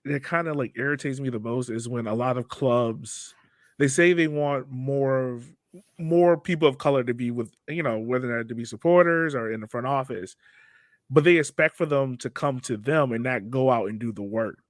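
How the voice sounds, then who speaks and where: A man's voice: mid-range voice, moderate pace, no echo. Man, mid-range voice, podcast interviewer, informal chatty delivery, very dry close sound. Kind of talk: casual chat, interviewing.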